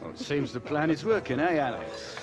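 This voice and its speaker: A man's voice: fairly high pitch, wildly swooping pitch, brisk, over music. An actor playing a supportive, down-to-earth middle-aged British father in casual dialogue. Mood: friendly. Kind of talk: casual dialogue in game cutscene.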